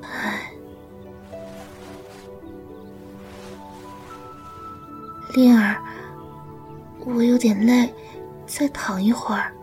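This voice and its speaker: An actress playing a sick, gentle young woman herbalist in a period wuxia audio drama, speaking softly, tenderly and wearily. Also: a little high, steady tone, takes it slowly, soft background music. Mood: weak, weary. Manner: gentle, weary, tender, coaxing.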